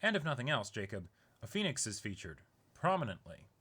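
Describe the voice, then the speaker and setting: A young man hosting an online video, casually reading aloud in a halting, chuckling way while seated indoors. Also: mid-range voice, sing-song, bright, forceful tone, slightly rough. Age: young adult. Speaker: YouTube unboxing host. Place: small indoor room, seated.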